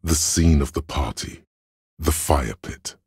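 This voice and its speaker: A man voicing a detective's cool, cerebral inner voice of logic in a video game, measured, condescending and dryly analytical, with a gravelly, husky tone. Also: very deep voice, darkish tone, no room sound, studio quality, heavily acted. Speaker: voice actor. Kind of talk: scripted game narration.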